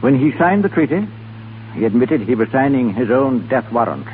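A man's voice: mid-range voice, very animated, normal speed, slight background noise, close to an everyday voice. Casting: male actor as rueful middle-aged English peer, solemn, hesitant, mid-range voice, vintage radio play, narrow tinny sound. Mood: grave, admiring. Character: English lord, grave, rueful statesman and father. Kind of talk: 1930s radio acting. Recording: tinny 1930s radio recording with music.

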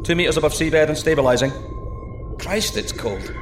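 An actor playing a plucky, excitable male astronaut in a sci-fi audio drama, breezy and cocky between clipped technical reports. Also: fairly high pitch, moderate pace, echoey room, music in the background. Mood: breezy, reassuring. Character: plucky, excitable male astronaut piloting a deep-sea microsub.

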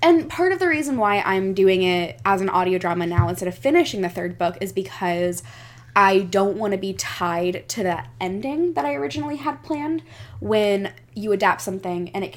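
A woman in casual chat, excitable and halting as she thinks aloud. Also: medium pitch. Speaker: podcast creator pitching an idea to friends.

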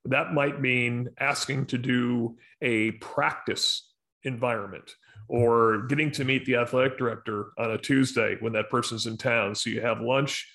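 An American man with a medium-pitched voice leads a professional discussion. He explains in a measured, instructive way and leans on key words for emphasis. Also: fairly even intonation, fairly bright tone. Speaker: sports sponsorship professional leading a discussion.

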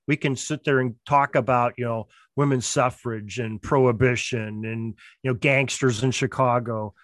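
A man's voice: medium pitch, no room sound. A man in an interview explaining things casually as a producer, repeating words as he builds each point. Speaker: podcast drama producer.

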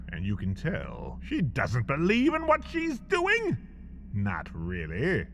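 Male storyteller, unhurried and hugely animated, theatrical with dry wit, for scripted comedy; slightly dull sound over faint ambience. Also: fairly high pitch, slight background noise. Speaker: podcast host and narrator. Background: faint ambient sound effects.

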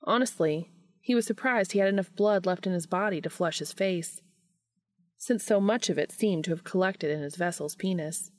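A woman narrating fan fiction in an expressive audiobook style. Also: fairly low pitch, normal speed.

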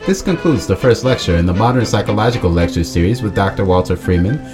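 A man's voice: fairly low pitch, animated, fast, natural voice. A man playing a formal mid-century public service film announcer. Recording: prominent music behind voice.

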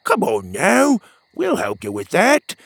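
A man's voice: high-pitched, huge pitch swings, slow, creaky, fairly bright tone, slightly rough, no echo, voice pushed up, heavily acted. A man doing a teasing, loyal young sidekick. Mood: reassuring. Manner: teasing, reassuring.

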